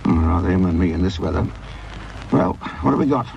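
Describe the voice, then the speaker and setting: An actor playing a wry, middle-aged English police sergeant with a gravelly voice, grumbling and dry-humoured in a radio drama. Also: male voice, low-pitched, animated, fairly quick, a little mellow, lo-fi sound, a little hiss or hum. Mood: brisk, dry.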